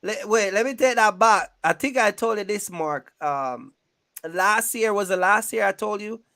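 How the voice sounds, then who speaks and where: High-pitched man, emphatic casual talker. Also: measured pace, creaky, bright, forceful tone, dry recording, clean studio sound. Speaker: trucking business owner and video creator. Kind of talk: casual chat.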